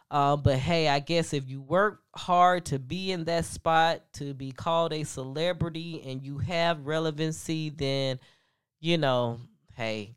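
Female gossip host: deep, congested voice, very smooth and resonant, chatty and frank. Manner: chatty and frank, many ums and asides to listeners. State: stuffed-up nose. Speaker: podcast host. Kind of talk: casual celebrity gossip commentary.

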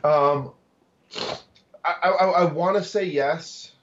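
A man in his forties, a guest in an interview, talking in a halting way with many fillers and restarts. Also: fairly high pitch, fairly bright tone, dull audio. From Tacoma, Washington, US. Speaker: guest, cybersecurity executive. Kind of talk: interview conversation.

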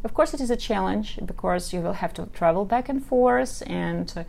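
A woman's voice: lowish voice, vocal fry, darkish tone, a little hiss or hum. A woman with a Ukrainian accent hosting a dating podcast, giving earnest advice straight to her listeners. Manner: earnest, coaching, addresses listeners directly. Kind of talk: direct-to-listener advice monologue.

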